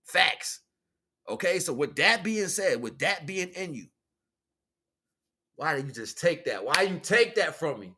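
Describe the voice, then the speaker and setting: A man with an American accent speaks in a slightly high, sharp-edged voice. He delivers a loose, improvised spiritual message in street slang. He leans on repetition to drive points home and slips into imagined back-and-forth dialogue. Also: big pitch swings, unhurried, pauses often, slight background noise. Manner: emphatic, repeats phrases for stress. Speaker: spiritual teacher and livestream host. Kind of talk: improvised spiritual talk, casual street preaching.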